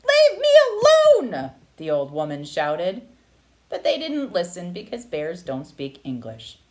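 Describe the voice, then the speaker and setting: A woman narrating a children's picture book aloud with expressive, dramatic phrasing. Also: deep voice, a little slow, slight background noise, rough recording. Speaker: read-aloud storyteller.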